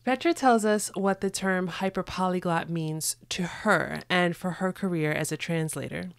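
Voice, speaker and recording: Lady hosting a podcast, kinda low voice, upbeat and excited, dead dry recording. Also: normal speed, gravelly, no echo. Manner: upbeat, enthusiastic. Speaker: podcast host. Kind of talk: scripted intro then interview chat.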